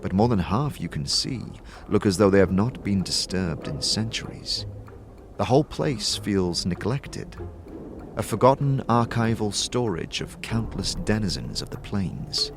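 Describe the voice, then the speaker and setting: A man narrating a scripted fantasy tale in a measured, theatrical storytelling manner. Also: on the low side, expressive, music in the background. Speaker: narrator and game player.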